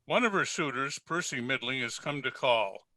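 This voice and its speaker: A man's voice: mid-range voice, lively intonation, normal speed, pushed and bright, no room sound. A man narrating a scripted old-time radio drama. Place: at home, recorded over a video call.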